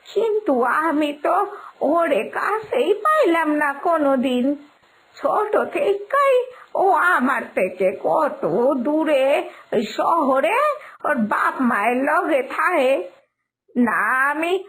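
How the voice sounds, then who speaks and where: A woman voicing a kindly, wistful elderly village grandmother in an animated story, speaking in a gentle, pleading, drawn-out way. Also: sits high, full character voice, rough recording. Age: older. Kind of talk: animated moral story dialogue.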